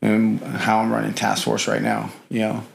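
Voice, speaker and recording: A man's voice: on the low side, completely flat delivery, normal speed, vocal fry, a little hiss or hum. A middle-aged man talking as a podcast guest in casual interview chat, rambling with lots of fillers and "you know".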